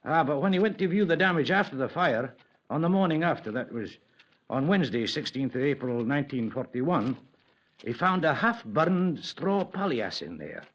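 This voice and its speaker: A deferential old police sergeant, speaking with a lively, rising and falling voice pitched a little high. He sounds hesitant, with drawn-out pauses. A dull, lo-fi 1950s radio recording. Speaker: actor in radio drama. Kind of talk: 1950s radio drama acting.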